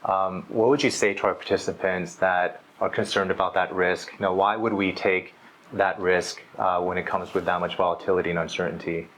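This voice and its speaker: A man's voice: sits low, flat delivery, a little fast, a bit bright, slight background noise. A man hosting an interview as an investment specialist, polite and supportive, with many listening murmurs.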